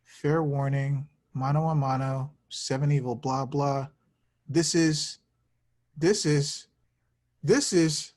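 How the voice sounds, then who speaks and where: A man playing an excitable, oblivious young slacker in love, slangy, in a comedy screenplay table read. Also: mid-range voice, takes it slowly, lightly acted. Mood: bored.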